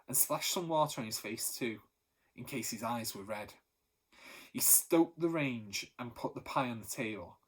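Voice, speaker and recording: A teacher reading a children's novel aloud with expressive storytelling. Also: male voice, medium pitch, husky.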